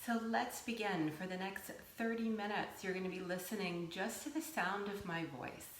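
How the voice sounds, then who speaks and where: A woman teaching yoga nidra, giving gentle guided meditation instruction in a soothing, unhurried way with pauses. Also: medium pitch, steady tone. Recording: roomy echo, faint background noise.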